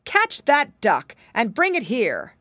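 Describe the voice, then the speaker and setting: A woman voicing a king who calls out loudly and gives commands. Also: on the high side, huge pitch swings, takes it slowly, no room sound, voice pushed up, heavily acted. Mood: commanding. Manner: loud, calling out commands.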